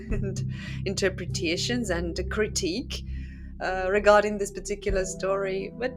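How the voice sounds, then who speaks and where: A woman hosting a channel, telling and commenting on stories in a casual, opinionated, sardonic and conversational way. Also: on the low side. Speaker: host of a book channel. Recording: music playing behind the voice. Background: instrumental music.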